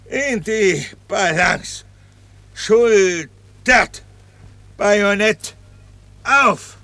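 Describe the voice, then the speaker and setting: Drill sergeant for radio drama, super high strained bark, slow clipped orders. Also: male voice, bright, forceful tone, no echo, exaggerated voice. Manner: barking, clipped military commands. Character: stern drill sergeant barking rifle commands. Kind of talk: German radio play acting.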